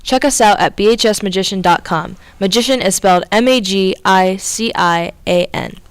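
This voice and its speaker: A woman's voice: medium pitch, moderate pace, smooth. Young female school announcer. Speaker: student announcer.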